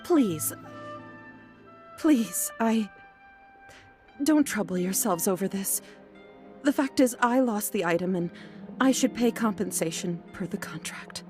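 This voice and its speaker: A woman's voice: mid-range voice, expressive, slow pace, long pauses, darkish tone. A woman playing a polite, anxious, apologetic young merchant in a video game, earnest and a little hesitant. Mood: resigned, dutiful. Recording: music behind the voice.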